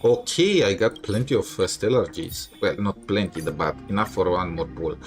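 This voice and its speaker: A male game streamer with a lowish voice. He comments casually and haltingly, often pausing to find words, while game music plays clearly behind him. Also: lively intonation.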